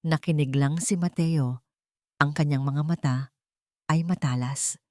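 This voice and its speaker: A woman narrates a scripted drama story in a low-pitched voice. The sound is dry and close, with no room around it. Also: fairly even intonation, a little slow, dark tone, no echo.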